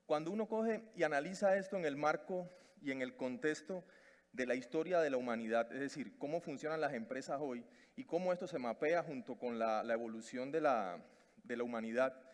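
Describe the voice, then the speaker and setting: A man from Cuba with a Cuban Spanish accent, giving a conference talk, hesitant with many fillers and restarts. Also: medium pitch. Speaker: conference speaker. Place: conference stage in a large hall. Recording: long reverb of a large hall.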